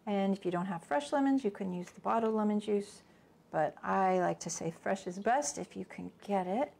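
A woman's voice: medium pitch, normal speed, creaky, a little mellow. Chatty woman teaching in a home kitchen. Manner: chatty, thinking aloud, with small asides. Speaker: cooking instructor. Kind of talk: casual cooking demonstration.

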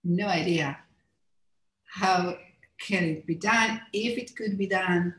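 A woman's voice: on the low side, takes it slowly, fairly bright tone. A middle-aged woman from Israel with an Israeli accent, a native Hebrew speaker, answering interview questions thoughtfully with many fillers and restarts over a video call from a room at home. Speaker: author and illustrator, interview guest. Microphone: video call, computer mic. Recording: roomy video call audio.

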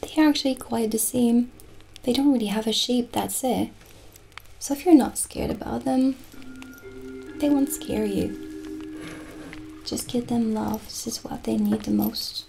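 A woman playing a gentle, dreamy witch shopkeeper in an improvised ASMR roleplay, musing soothingly with pauses. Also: fairly high pitch, vocal fry, faint music behind.

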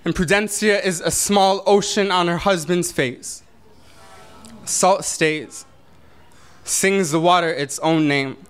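A young man performing spoken word poetry at a slam, slow and deliberate, building to intense declamation, in a small brick-walled venue. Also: sits high, fairly bright tone. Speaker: slam poet.

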